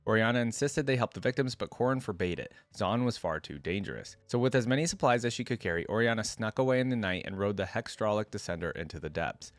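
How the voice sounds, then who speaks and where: Low-voiced male reaction host, close dry sound. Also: expressive, fast, hardly any pauses, dry recording. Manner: thinks aloud, reacting with interjections. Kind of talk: casual reaction commentary mixed with reading lore aloud.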